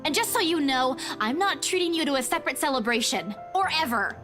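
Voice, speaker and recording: A woman's voice: sits high, expressive, fairly quick, music in the background. A woman voicing a sassy, defensive young woman in a visual novel, bantering back and forth. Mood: teasing, irritated.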